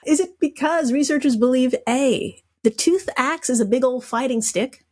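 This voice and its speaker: Woman, higher-pitched voice, playful and sweary, running a casual comedy quiz on a podcast. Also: normal speed, a little mellow. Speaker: podcast guest posing quiz questions.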